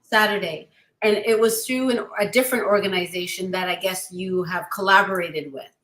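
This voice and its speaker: A woman's voice: medium pitch, normal speed, a bit bright, echoey room, low-quality recording. A woman hosting a podcast interview, asking questions in a casual, chatty way. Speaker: podcast host.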